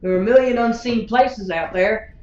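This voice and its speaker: An actress playing a wry, blunt, independent space gunslinger in a science fiction audio drama. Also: female voice, fairly high pitch, normal speed, a little mellow, roomy sound, lo-fi sound, close to an everyday voice. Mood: wry, defiant.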